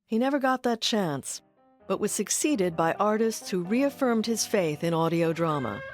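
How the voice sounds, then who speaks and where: A woman's voice: mid-range voice, expressive, moderate pace, faint music behind. A woman hosting a documentary, reading scripted narration.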